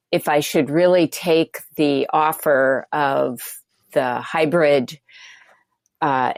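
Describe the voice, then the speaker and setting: Caller, woman in her sixties, halting speech, low, gravelly tone, dry sound. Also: no room sound. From Pacific Northwest of the US. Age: 60s. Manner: hesitant, halting, with fillers. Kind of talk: phone-in question on a talk show.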